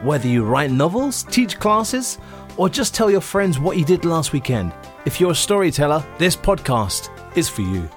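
A man's voice: on the high side, sing-song, moderate pace. A man reading a scripted podcast introduction as the announcer, with guitar music behind him.

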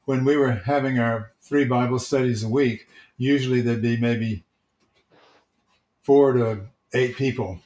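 A man who is a church elder, gently telling stories from his church life in casual, reflective talk. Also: medium pitch, fairly even intonation, unhurried. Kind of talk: casual storytelling. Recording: roomy, echoey sound.

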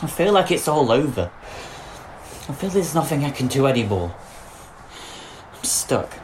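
An actor playing a distraught, bitter suspended policeman in an audio drama, speaking in an anguished, halting way. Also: mid-range voice, very animated, background noise, lightly acted. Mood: despairing. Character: distraught suspended young policeman, bitter, guilt-ridden lover. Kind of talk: audio drama monologue and dialogue.

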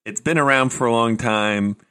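A man's voice: medium pitch, normal speed, smooth. A man co-hosting a podcast, chatting casually and opinionated, often restarting his sentences. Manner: opinionated, animated, trails off into restarts. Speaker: podcast co-host. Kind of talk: casual chat.

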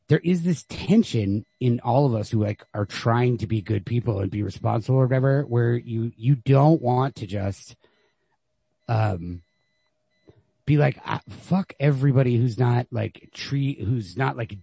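A man with a creaky, rough-edged voice talks casually about literature as a podcast co-host. He is informal and emphatic, and the recording sounds dry and close. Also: medium pitch, dark tone, no room sound.

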